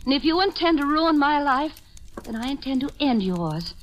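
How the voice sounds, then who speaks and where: A woman's voice: high voice, big pitch swings, normal speed. An actress playing an imperious, hot-tempered young woman in a 1940s radio drama. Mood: cold, threatening. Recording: noisy vintage radio recording with clicks.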